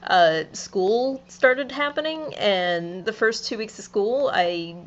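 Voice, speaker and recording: A woman's voice: medium pitch, expressive, slow. A young woman about to turn twenty, musing in a casual, sleepy vlog monologue. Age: young adult. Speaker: vlogger. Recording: slightly dull home video audio.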